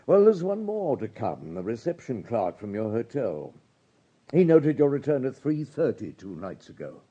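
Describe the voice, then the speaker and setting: An actor playing a shrewd, courteous police superintendent in a radio drama, polite, measured and insistent. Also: male voice, mid-range voice, very animated, warm tone, husky, muffled recording. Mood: coolly accusing.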